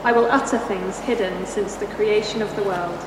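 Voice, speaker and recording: A woman reading a Bible passage aloud in church at a steady, measured pace. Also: mid-range voice. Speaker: scripture reader. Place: church hall. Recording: echoey hall from far off, noisy.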